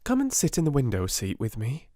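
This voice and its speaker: A man reading a storybook, voicing a kind young schoolgirl with a calm, firm, coaxing manner. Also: medium pitch, a little fast, no echo, studio quality, voice pushed up.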